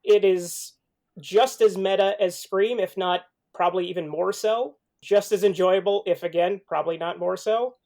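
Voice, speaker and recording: A man on a podcast panel giving film recommendations in casual, halting conversation. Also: high voice, steady tone, darkish tone.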